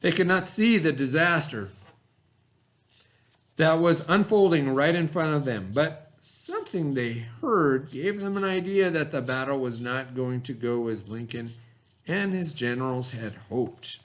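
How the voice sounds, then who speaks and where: Higher-voiced man reading aloud as a teacher. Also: expressive. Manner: explanatory, pausing to gloss words and ask questions. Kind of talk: educational read-aloud with asides and questions.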